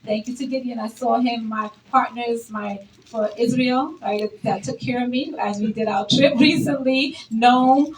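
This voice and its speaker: Lively woman speaking in an echoey hall. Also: fairly high pitch, unhurried. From Brooklyn, New York. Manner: lively, energetic, engaging the audience. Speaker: elected official. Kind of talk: public speech at an event. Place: event hall. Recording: roomy, distant, noisy event hall.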